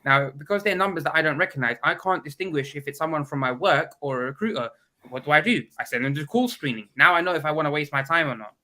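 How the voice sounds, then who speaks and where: A man's voice: on the high side, quick pace, sharp tone. A man, a guest in a casual video call chat, talking bluntly and with strong opinions while stuffed up from a cold.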